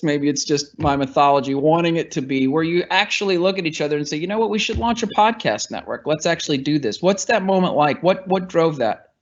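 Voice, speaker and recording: A man hosting an interview on a webinar, chatty and animated, asking long questions and laughing easily. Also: mid-range voice, highs cut off. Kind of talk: interview moderation. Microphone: remote webinar call.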